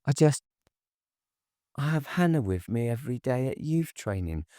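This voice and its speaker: A man's voice: medium pitch, expressive, normal speed, dark tone, dry recording, clean studio sound, voice pushed up, lightly acted. A man reading an audiobook, voicing a timid, frightened young woman with a small, pleading voice. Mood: nervous. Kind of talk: audiobook character voice.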